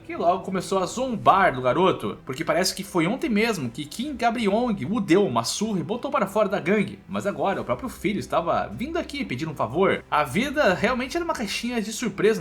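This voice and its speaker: A man's voice: high voice, fairly bright tone. A Brazilian man narrating a scripted story recap in a dramatic, colloquial storytelling manner. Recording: faint music under the voice. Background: faint background music.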